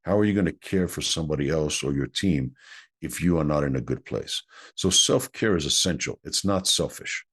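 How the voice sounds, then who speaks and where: A man's voice: rumbling low, animated, moderate pace, fairly bright tone, slightly rough. A man whose first language is Greek, an executive coach answering interview questions in an earnest, explanatory way.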